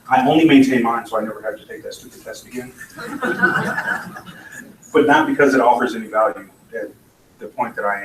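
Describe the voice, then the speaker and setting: A man's voice: medium pitch, fairly bright tone. Blunt man speaking in an echoey hall. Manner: blunt and opinionated, with dry asides. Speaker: conference speaker. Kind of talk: candid conference talk with Q&A. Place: conference hall. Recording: echoey hall, mic from far off, faint noise.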